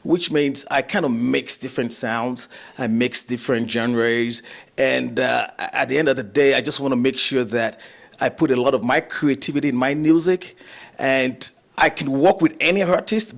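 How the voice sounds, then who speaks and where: A man from Nigeria, a musician and actor, chatting in an interview, relaxed and chuckling, with many fillers and restarts. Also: medium pitch, moderate pace, creaky. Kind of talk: casual interview chat.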